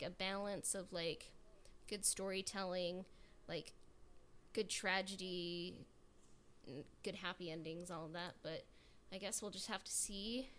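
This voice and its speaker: A woman co-hosting a podcast in a reflective, casual way, haltingly searching for her words. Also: mid-range voice, fairly bright tone, slight background noise.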